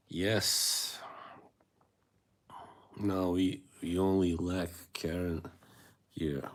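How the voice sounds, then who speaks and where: Man, very low voice, rambling live-stream chatter, frequent chuckles. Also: fairly bright tone. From Bosnia and Herzegovina. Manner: rambling and hesitant, chuckling often. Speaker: livestream host. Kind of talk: casual live-stream chat, replying to viewers.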